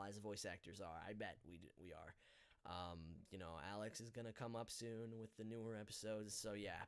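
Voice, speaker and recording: Casual male podcast host, smooth and bright, hesitant rambling delivery. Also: medium pitch. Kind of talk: casual live podcast chat. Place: online voice call.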